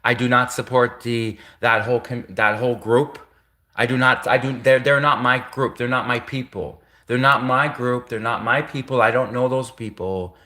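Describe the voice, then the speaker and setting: A man's voice: medium pitch, steady tone, normal speed, pushed and bright. A man from Canada with a nasal voice, talking casually to his livestream chat, often restarting and repeating his phrases. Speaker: livestreamer answering chat comments.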